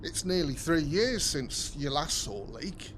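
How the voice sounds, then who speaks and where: An actor playing a matter-of-fact, gently reasoning family man in a naturalistic audio drama. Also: a little high, animated, measured pace, slight background noise.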